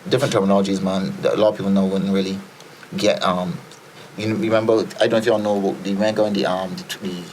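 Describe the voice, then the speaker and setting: A man's voice: low-pitched, little pitch movement, fast, darkish tone, slight background noise, rough recording. A Barbadian man, a podcast guest, telling stories in a rambling casual way, full of fillers and repeated starts. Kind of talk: casual storytelling chat.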